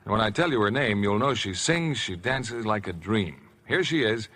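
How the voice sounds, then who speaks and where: A man's voice: on the low side, animated, normal speed, fairly bright tone. A man hosting and announcing in polished, theatrical, scripted 1950s radio style. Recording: vintage 1950s radio broadcast.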